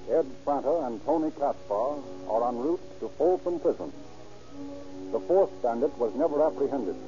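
A man narrating a 1930s radio crime drama in a measured, declamatory way. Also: medium pitch. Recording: muffled old radio recording over music.

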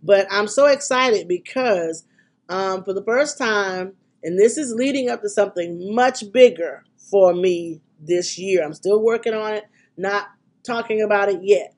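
A woman's voice: mid-range voice, unhurried. Congested, chatty woman podcast host. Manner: chatty and excitable, with frequent ums. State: congested from a cold. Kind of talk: casual podcast chat.

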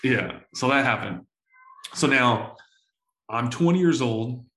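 A man in his forties telling stories in a casual interview, full of slang and swearing, excitable and often repeating himself. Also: medium pitch, takes it slowly, roomy sound, low-quality recording. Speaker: entrepreneur guest.

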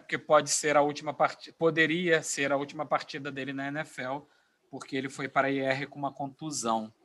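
A Brazilian man with a fairly high voice talks football as a podcast panelist. His delivery is informal and colloquial, in the style of casual game predictions among friends. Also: a bit bright.

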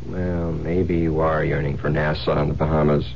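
A muffled old radio recording, faintly humming, features a psychiatrist husband with a low voice. He talks conversationally, probing and needling his wife in old-time radio drama style. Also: level tone, moderate pace, slight background noise. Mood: probing, affectionate. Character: smooth-talking, probing psychiatrist husband Tom, quietly menacing.

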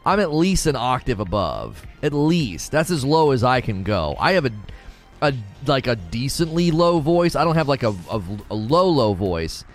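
A man's voice: mid-range voice, sing-song, normal speed, soft background music. A man hosting a casual livestream, reading out chat messages and rambling with frequent restarts. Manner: rambling, restarts often, self-corrects. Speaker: livestream host.

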